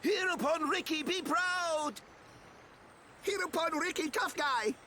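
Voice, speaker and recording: Little creature chief, squeaky and very high, sharp bright tone, slow proud proclamations, game cutscene. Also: male voice, slight background noise, heavily acted. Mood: proclaiming. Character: small, solemn village chief creature, cartoonishly proud. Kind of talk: video game cutscene acting.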